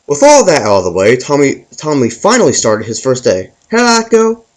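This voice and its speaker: A man's voice: medium pitch, huge pitch swings, a little fast. A man hosting a scripted comic story, narrating it with stumbles and repeated words as he reads. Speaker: host and storyteller.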